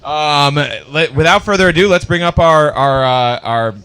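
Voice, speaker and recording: A man's voice: a little high, measured pace, fairly bright tone, smooth. A man hosting a live comedy show, announcing acts cheerfully with some hesitant fillers. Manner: upbeat emcee, hesitant fillers.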